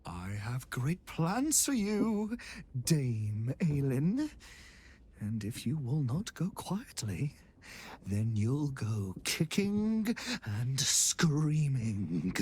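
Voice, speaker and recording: An actor playing a haughty, scheming wizard villain in a video game, threatening and commanding in a theatrical way. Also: male voice, mid-range voice, sing-song, extremely slow, darkish tone, breathy edge, full character voice. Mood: cold menace.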